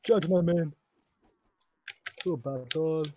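A man's voice: on the high side, slow, warm tone, a little hiss or hum. A man chatting and joking with friends over voice chat while they play an online battle game. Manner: joking, laughing along. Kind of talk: casual gaming voice chat.

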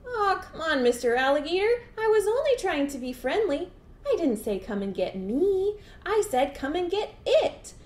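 A woman voicing a bold, sly female dog in a folktale, teasing and fast-talking. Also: sits high, big pitch swings, a little mellow, reverberant, pitched above the performer's own voice, heavily acted. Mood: sweet-talking, pleading. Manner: taunting, sweet-talking, barking.

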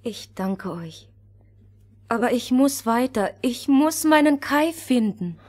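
A woman's voice: fairly high pitch, lively intonation, slow, darkish tone. An actress playing a brave, earnest little girl in a fairy-tale audio drama. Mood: earnest, sad.

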